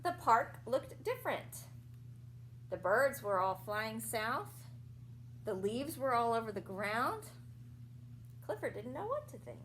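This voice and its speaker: A woman with a fairly high voice reads a picture book to small children. Her intonation swings widely as she tells the story, and she steps out of it to explain words simply and ask questions. There is faint noise behind her. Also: very animated, takes it slowly, pauses often, fairly bright tone, poor audio. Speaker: teacher reading aloud to young children. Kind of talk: animated picture-book read-aloud with asides and questions to children.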